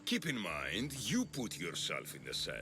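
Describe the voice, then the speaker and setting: An actor playing a smug, scheming Athenian politician in a video game, oratorical, menacing and condescending. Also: male voice, sits low, wildly swooping pitch, moderate pace, noisy background. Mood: smug, persuasive. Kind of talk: dramatic video game cutscene acting. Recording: music behind the voice.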